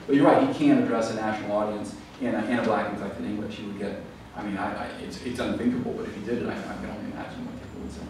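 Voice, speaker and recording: A man, an author at a library event, answering audience questions in a thoughtful, explanatory way with frequent fillers and restarts. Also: on the low side. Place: library event hall, recorded from the room. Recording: echoey hall, far from the mic, audible room noise.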